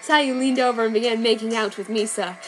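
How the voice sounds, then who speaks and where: A woman reading a fan story aloud, deadpan and mock-serious. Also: fairly high pitch, brisk. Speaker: reader. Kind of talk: dramatic fanfic reading. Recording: background music. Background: soundtrack music.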